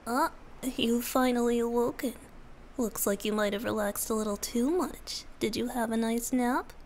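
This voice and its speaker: A woman voicing a youthful male wind-spirit bard, soft, gentle and teasing, often breathy, in a romantic ASMR roleplay. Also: fairly high pitch, normal speed, natural voice. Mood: gentle, playful.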